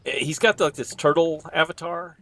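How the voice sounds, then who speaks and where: A man chatting on a gaming stream, hesitant and halting, with many pauses and uh's. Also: fairly high pitch, fairly even intonation, creaky, bright, forceful tone. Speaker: streamer co-host. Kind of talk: casual gaming stream chat.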